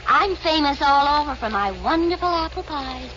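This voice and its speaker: A feisty old granny from a 1940s Western radio comedy. Her high voice swoops about, folksy, coy and theatrical, through a dull and very noisy old broadcast recording. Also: animated, moderate pace, a bit bright. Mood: doting, coy. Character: feisty, fibbing old grandma, coy and doting.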